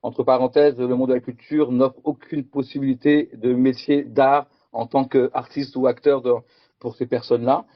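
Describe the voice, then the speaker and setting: A man's voice: medium pitch, little pitch movement, moderate pace, no echo, muffled recording. Hesitant middle-aged man presenting at a conference. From France, Picardy. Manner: hesitant, many fillers and repeated words.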